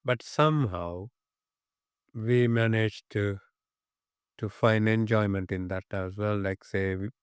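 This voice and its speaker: A man in his fifties with a Sri Lankan accent, reminiscing in an interview and often pausing mid-phrase to find his words. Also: lowish voice, clean tone, no room sound. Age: 50s.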